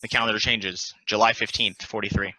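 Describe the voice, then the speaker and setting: A man narrating stage directions at a play table read in a plain, deliberate, announcing manner. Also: lowish voice, steady tone, sharp tone, no echo, poor audio.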